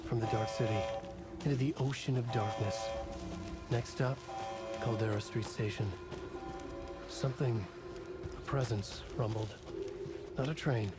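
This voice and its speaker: A haunted writer, played by a male actor speaks with grave menace in a lowish voice. It is dark horror game drama, with loud music pressing in around the words. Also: natural voice. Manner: grave, ominous delivery. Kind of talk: dramatic video game acting and narration.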